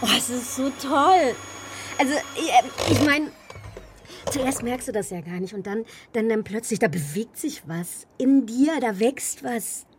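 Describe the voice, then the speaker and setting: A chatty, naive young pregnant shop assistant in a radio drama. Her voice sits fairly high and she speaks haltingly, with clear ambient noise around her. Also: expressive. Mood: awed, happy. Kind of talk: naturalistic German radio drama acting.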